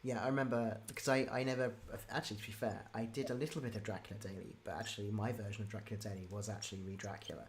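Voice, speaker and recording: A man's voice: lowish voice, flat delivery, racing, hardly any pauses, a little hiss or hum. A man hosting a casual interview, talking in an informal, rambling and enthusiastic way. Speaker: interview host.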